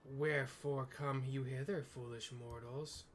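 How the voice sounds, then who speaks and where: A man's voice: mid-range voice, fairly even intonation, unhurried, a little hiss or hum, lightly acted. A man voicing a huge, cruel winged female deity in a fantasy game, haughty and theatrical with archaic phrasing. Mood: scornful.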